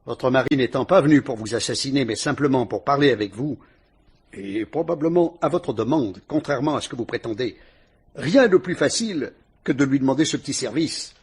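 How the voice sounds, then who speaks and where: An actor playing a shrewd police inspector who questions a suspect with dry irony, in a vintage radio drama. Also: male voice, mid-range voice, breathy edge. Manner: sly, probing, mocking interrogation.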